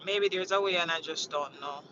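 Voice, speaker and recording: A woman's voice: lowish voice, a little fast, highs cut off, background noise. A woman from Jamaica, chatting casually on a livestream, rambling and repeating herself as she greets viewers from inside a vehicle. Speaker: livestream host. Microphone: phone mounted on dashboard.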